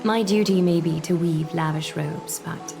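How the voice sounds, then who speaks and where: Composed, noble leader over music. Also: female voice, fairly low pitch, vocal fry. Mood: stately. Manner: formal, measured, gently authoritative. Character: composed, noble female leader, courteous and quietly commanding. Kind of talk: fantasy game voice acting.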